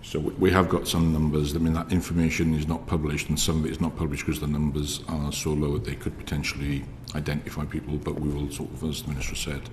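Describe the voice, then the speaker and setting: A man with a very deep, quiet voice gives evidence in a flat, even tone. He talks in a hesitant, procedural way, full of fillers. The sound carries a long hall reverb over faint noise. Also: quick pace, few pauses. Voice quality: very low, quiet voice. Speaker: prison service official giving evidence. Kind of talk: formal evidence to a committee. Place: parliamentary committee room.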